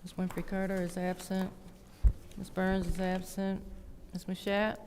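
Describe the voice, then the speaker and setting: Flat-voiced woman clerk calling roll. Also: fairly low pitch, measured pace. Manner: flat, routine roll-call reading. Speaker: city clerk. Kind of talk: reading a roll call. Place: city council chambers. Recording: distant, reverberant, faint noise.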